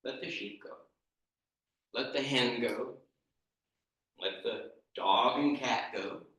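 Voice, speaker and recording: Brief: man as soft-spoken slow old wise man, echoey room, distant mic. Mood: calm. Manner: low, quiet and measured. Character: calm old wise man giving advice.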